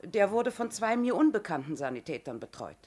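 An actress playing a reserved nurse on the witness stand in a 1970s German radio play, careful and matter-of-fact. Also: female voice, medium pitch, very animated, brisk, a little mellow. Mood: reserved, factual. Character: reserved female ambulance nurse testifying in court.

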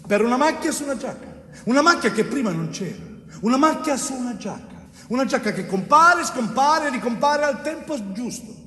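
Un attore che interpreta in un monologo teatrale un giovane muratore siciliano angosciato, ingiustamente accusato, con frasi spezzate e declamate. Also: male voice, extremely high pitch, lively intonation, bright, forceful tone, exaggerated voice. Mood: indignant, bitter. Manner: declamatory, halting phrases with emphatic repetition. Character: anguished young Sicilian bricklayer wrongly accused of murder. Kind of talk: dramatic theatre monologue. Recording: echoey theatre hall, distant mic, faint noise.

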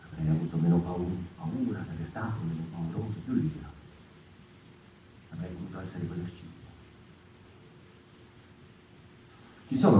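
An actor in his fifties playing a reflective, impassioned stage performer in a halting theatrical monologue that repeats words and phrases. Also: low voice, mellow, close to an everyday voice. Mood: wistful, musing. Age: 50s. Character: impassioned fifty-year-old stage performer musing on freedom. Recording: echoey, distant, noisy stage room.

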